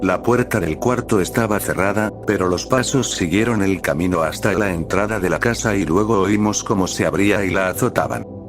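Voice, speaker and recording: A man's voice: deep voice, brisk, music in the background. A man, reading first-person scary stories as a scripted narration for a horror channel.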